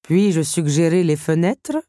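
A woman voicing a suave, weary male candelabra in a storybook reading, drawling slowly. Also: deep voice, lively intonation, warm tone, no echo, clean studio sound. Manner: slow, drawling.

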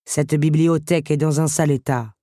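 Lady doing a low slow drawly candelabra guy, kinda tired and smooth, dark tone. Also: fairly even intonation, no room sound. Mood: weary. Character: suave weary male candelabra.

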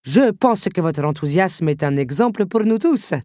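A woman's voice: fairly low pitch, expressive, moderate pace, mellow, no echo, clean studio sound. A woman voicing a flustered, tactful male candelabrum servant who stammers deferentially, in an audiobook story.

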